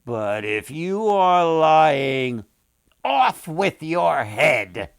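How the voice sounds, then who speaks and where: Man playing a stern, slow-spoken king. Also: on the high side, big pitch swings, clean tone, dry recording, studio quality, heavily acted.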